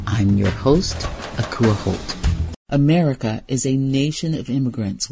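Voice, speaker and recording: A woman hosting a radio program, reading scripted narration in a measured, deliberate way. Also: extremely low pitch, gravelly. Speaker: radio host.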